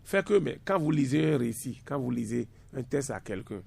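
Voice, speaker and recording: A man's voice: mid-range voice, expressive, moderate pace, creaky, darkish tone, husky. A man in his fifties, a university lecturer speaking as a radio guest, emphatic and fond of repeating words for stress. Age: 50s. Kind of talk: radio discussion.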